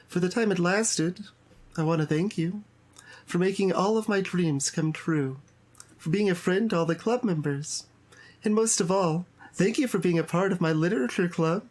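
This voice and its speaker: A man voicing an earnest, sorrowful girl reading a farewell letter from a visual novel in a solemn, measured way. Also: sits high, darkish tone, pitched above the performer's own voice. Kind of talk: dramatic read-aloud of visual novel text.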